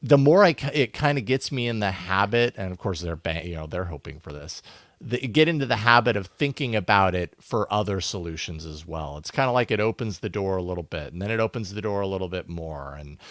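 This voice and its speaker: Low-pitched man co-hosting a tech podcast, dry sound. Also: lively intonation, a little fast, a bit bright, no room sound. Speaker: podcast co-host. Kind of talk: casual conversational tech podcast talk.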